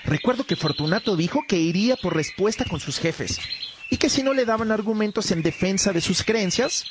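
An actor playing an eager, admiring cleric in a radio drama, talking with enthusiasm. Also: male voice, high-pitched, expressive, moderate pace, background noise. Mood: enthusiastic. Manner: enthusiastic, recalling events.

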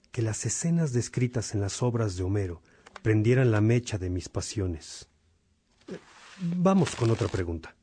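A man playing an earnest, fatherly monk and mentor in a radio drama, speaking in a measured, formal way. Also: fairly low pitch, mellow, slightly rough.

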